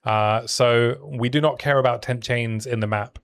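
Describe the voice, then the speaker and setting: A man's voice: mid-range voice, normal speed. A young man with a soothing voice, chatting casually with his viewers as he streams a game. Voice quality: soothing sleep-story voice. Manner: relaxed, chatty, answering chat between plays. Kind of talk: casual live-stream commentary while playing.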